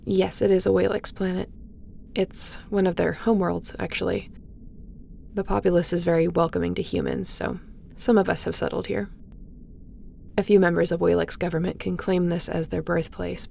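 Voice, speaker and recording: A woman's voice: fairly low pitch, brisk, creaky, darkish tone, a little hiss or hum. A woman playing a guarded, wry starship captain and ace pilot in an intimate audio roleplay, with a sardonic, conversational way of talking. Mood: calm, explaining. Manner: sardonic, conversational, sighing and teasing.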